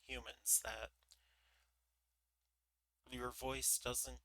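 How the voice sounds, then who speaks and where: A man's voice: level tone, drawn-out words, gravelly, bright, forceful tone, no echo. A man who streams book readings, chatting casually in a rambling, self-deprecating way full of fillers. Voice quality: naturally higher voice than he looks. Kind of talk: casual chat during an ad break.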